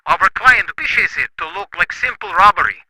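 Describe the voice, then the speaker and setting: A man playing a cold male criminal who gives businesslike murder orders in a flat, commanding manner. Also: high-pitched, normal speed, heavy background noise.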